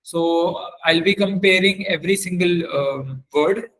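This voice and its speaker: A man giving an informal technical lecture as a webinar trainer, speaking haltingly and often repeating his phrases. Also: high voice, a bit bright, poor audio. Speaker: webinar trainer on forensic audio.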